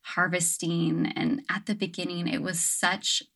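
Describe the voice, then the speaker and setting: A woman's voice: lowish voice, level tone, measured pace, creaky, fairly bright tone. A young adult woman talking casually as an interview guest, reflective and thoughtful, with frequent "um" and "like". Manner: reflective, many fillers like "um" and "like". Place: her home on an island.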